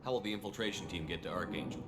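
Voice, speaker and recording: An actor playing a cool, direct, businesslike military commander in a video game. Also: male voice, low-pitched, very fast, lightly acted. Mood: cool, inquiring.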